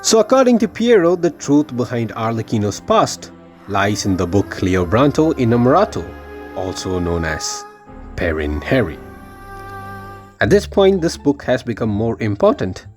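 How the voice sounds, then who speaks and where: Animated man narrating over music. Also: medium pitch, normal speed. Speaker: video game lore YouTuber. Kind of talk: scripted narration of game lore theory.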